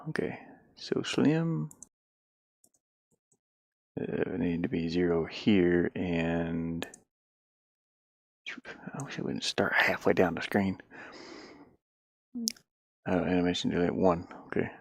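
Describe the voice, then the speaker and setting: Low voiced guy muttering while he works on his game on stream, super dry close sound, takes his time. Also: slow pace, gravelly, long pauses, no echo. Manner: muttering to himself as he troubleshoots. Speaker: indie game developer streaming. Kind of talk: casual livestream talk while working on a game.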